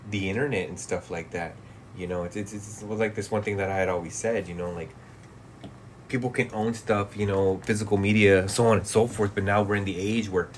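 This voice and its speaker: A relaxed man in his late thirties talks to his stream chat in a low voice, swearing casually and trailing off into quiet mumbles. A faint hum of room ambience sits behind him. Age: 30s. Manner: laid-back, profane, laughs often, trails off into mumbles. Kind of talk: casual livestream chat, reading chat comments.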